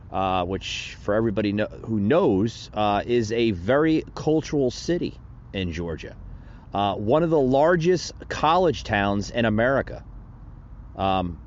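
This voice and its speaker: A man delivers slow, indignant political commentary on his own podcast, his pitch rising and falling a lot. He rambles and restarts often. The recording is slightly muffled, with faint noise behind him. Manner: rambling and repetitive, with many fillers and restarts; indignant and preachy. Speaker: podcast host.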